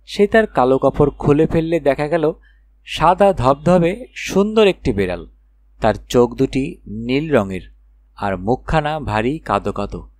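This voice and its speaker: Animated male storyteller, dry close sound. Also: medium pitch, a little slow, no room sound. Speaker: audiobook narrator. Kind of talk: expressive children's fairy tale narration.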